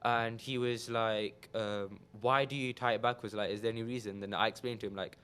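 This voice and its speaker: Young man, Punjabi native speaker, relaxed guest telling his story, lowish voice. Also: fairly quick, clean tone. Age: young adult. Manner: relaxed, hesitant storytelling with fillers. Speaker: podcast guest.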